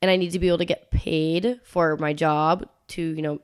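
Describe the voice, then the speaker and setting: A woman's voice: sits low, fairly even intonation, normal speed, smooth. A young woman in her twenties with a stuffy, congested nose, telling stories casually as a podcast co-host. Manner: chatty storytelling, frequent "like".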